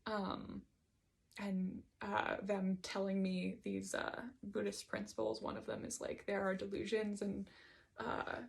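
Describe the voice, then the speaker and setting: A woman's voice: medium pitch, measured pace, creaky. A woman giving a reflective solo monologue, hesitant and digressive as she thinks aloud about her writing. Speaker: host reading and discussing own writing.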